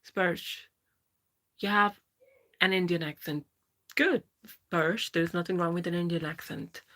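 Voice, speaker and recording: A woman's voice: fairly low pitch, measured pace, fairly bright tone. A woman in her thirties with a Pakistani English accent, chatting casually on a live stream and answering viewers by name. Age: 30s. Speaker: livestream host. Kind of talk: casual live chat reading viewer comments.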